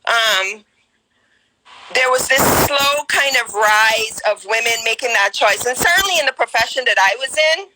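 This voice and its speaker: A woman's voice: fairly high pitch, expressive, moderate pace, noisy background. A woman in her forties with a Guyanese accent, sharing a personal story on a live stream call in an earnest, hesitant way with many ums and restarts. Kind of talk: casual personal testimony.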